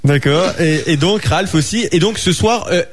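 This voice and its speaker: A man hosting a live radio show, chatting with guests in a lively way with many fillers. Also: on the high side, moderate pace. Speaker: radio host.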